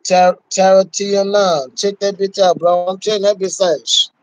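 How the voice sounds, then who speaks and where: A man's voice: sits high, flat delivery, moderate pace, no room sound, low-quality recording. A middle-aged man talking casually about guns on a phone livestream from a small room at home, swearing and laughing as he goes. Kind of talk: casual chat. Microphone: phone held at arm's length. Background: fireworks outside.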